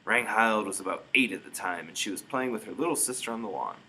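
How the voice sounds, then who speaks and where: Expressive, low-voiced man reading a storybook. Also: fast, slight background noise, poor audio. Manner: dramatic emphasis. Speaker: storybook reader. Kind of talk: expressive read-aloud narration.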